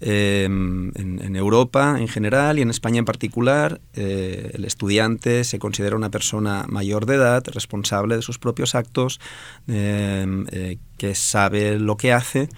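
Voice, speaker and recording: A man's voice: on the low side, unhurried, no room sound. A man in his forties from Alicante with a Spanish accent from Spain, a Valencian native speaker answering interview questions as a professor, thoughtful and full of hesitations. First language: Valencian/Catalan.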